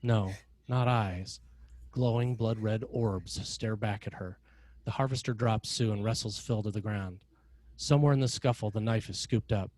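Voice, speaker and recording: A man with a lowish voice narrates a screenplay. He reads stage directions in a measured, dramatic style. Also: a little mellow, dry recording.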